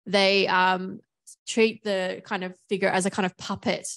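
Brief: lively gravelly woman in the audience, curious questioner. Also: medium pitch, a little slow. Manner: animated, laughs often. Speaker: audience member asking a question. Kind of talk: casual question at a panel.

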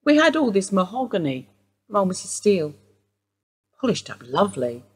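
A woman's voice: mid-range voice, very animated, normal speed, husky. A woman playing a wistful, rambling housewife. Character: widowed English housewife, Mrs Steele, wistful suspect. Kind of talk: radio play acting.

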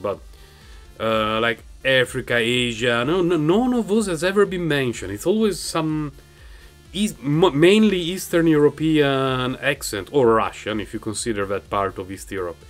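A man's voice: mid-range voice, expressive, normal speed, fairly bright tone, faint music behind. A man with a non-native English accent chatting casually while playing a game on his couch, rambling and laughing often, into a mic in front of him. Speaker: gaming channel host. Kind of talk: casual gameplay commentary. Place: home, on a couch. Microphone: microphone raised in front of him.

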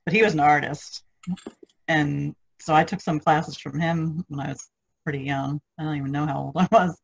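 A middle-aged woman with a lot of fry and husk in her voice. She chats informally among friends in an easy, anecdotal way. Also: deep voice, fairly even intonation, moderate pace. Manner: laughs readily. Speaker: artist on a podcast panel. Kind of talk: casual chat, personal anecdotes. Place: online video conference call.

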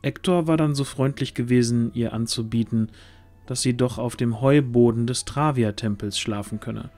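A man's voice: mid-range voice, moderate pace, a little mellow, faint music behind. A man narrating a scripted fantasy story as the storyteller.

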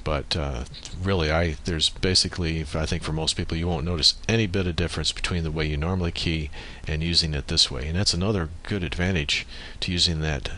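A man with a very low, bright and forceful voice walks through a software setup. He explains things methodically in an informal way, often thinking aloud as he goes. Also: fairly even intonation, brisk, few pauses. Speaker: tutorial presenter. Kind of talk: informal software screen-recording tutorial. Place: indoors at a computer, voice-over on screen recording.

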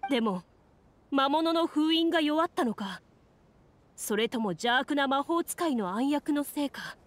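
A woman's voice: high voice, animated, a little slow, no echo. A woman voicing a worried, earnest young man from a troubled town in a fantasy game. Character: anxious, earnest young townsman.

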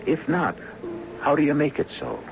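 A man's voice: a little high, moderate pace, over music. A man hosting and narrating a radio drama with scripted, literary, theatrical delivery. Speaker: host and narrator. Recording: dull, noisy 1970s radio recording.

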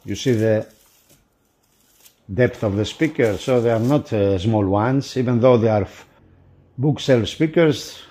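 Slow, mellow man reviewing. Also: mid-range voice. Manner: halting, broken phrasing in non-native English. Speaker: product reviewer for a magazine. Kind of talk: unboxing commentary.

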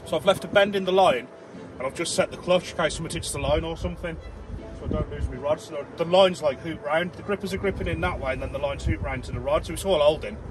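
A man's voice: a little high, fast talker, background noise. Male vlog host, casual and colloquial, easygoing narration with music underneath. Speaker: angling vlogger and host.

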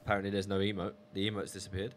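A man's voice: deep voice, completely flat delivery, racing, very noisy. A man chatting casually on a game live stream, reading viewer messages aloud.

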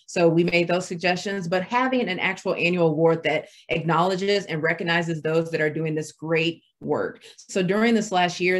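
Woman roundtable facilitator on a video call, measured and appreciative, lowish voice. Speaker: webinar presenter. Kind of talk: online roundtable moderation.

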